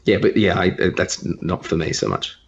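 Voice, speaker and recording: A man's voice: low voice, sing-song, fairly quick, gravelly, slightly rough, highs cut off. A man co-hosting a podcast, chatting casually and giving his opinions. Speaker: podcast co-host.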